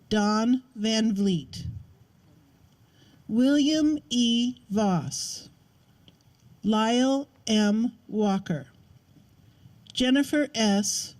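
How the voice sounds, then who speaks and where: A woman reading out a list of names in a solemn, formal ceremonial style, measured and with pauses between each. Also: fairly high pitch, darkish tone. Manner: measured, one name at a time with pauses. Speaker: name reader at a memorial ceremony.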